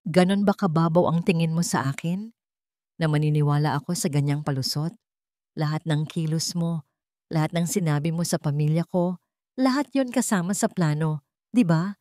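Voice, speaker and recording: A woman's voice: sits low, normal speed, warm tone, no echo, studio quality, natural voice. Bitter, accusing bride-to-be, read by a woman narrator. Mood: angry, accusing.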